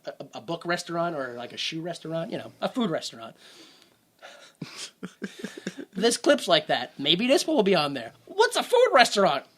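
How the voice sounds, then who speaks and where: A man's voice: fairly high pitch, huge pitch swings, moderate pace, long pauses, a bit bright. A young man hosting a podcast, rambling casually and laughing at his own jokes. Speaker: podcast host.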